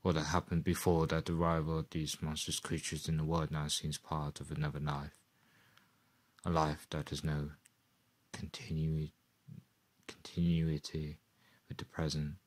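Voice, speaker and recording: Radio play role: man as lonely survivor, deep and quiet, brooding, haunted literary monologue. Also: monotone, unhurried, long pauses, no room sound. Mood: bleak brooding. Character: lonely, haunted male survivor narrating his written notes.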